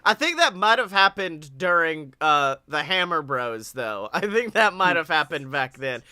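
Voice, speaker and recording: Young guy podcast host, super bright sharp voice, dry close sound, excitable and chatty. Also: fairly high pitch, measured pace, smooth, no room sound. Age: young adult. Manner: excitable, hyped-up, playful. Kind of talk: casual chat on a wrestling podcast. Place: small room at home, on a video call.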